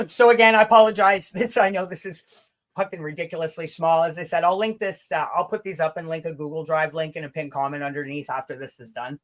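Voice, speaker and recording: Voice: mid-range voice, steady tone, fairly quick. A livestream host chatting casually and reading messages aloud, blunt and sweary, stumbling and rereading as they go.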